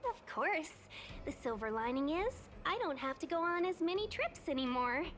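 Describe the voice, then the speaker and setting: A woman's voice: high voice, animated, moderate pace, a bit bright, soft background music. A woman voicing a coy, silver-tongued young merchant guide in a video game, with a polished, teasing delivery. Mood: wistful.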